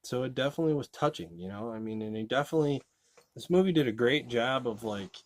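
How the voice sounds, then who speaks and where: A man's voice: mid-range voice, quick pace. A man giving a casual, rambling movie review, full of fillers like "you know" and restarts. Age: adult.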